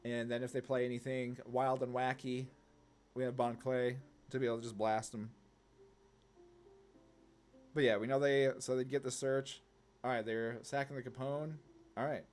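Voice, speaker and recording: A man's voice: mid-range voice, level tone, moderate pace, a little mellow. Casual man thinking aloud, music behind. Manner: informal, rambling, thinking through moves aloud. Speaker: gaming content creator, player.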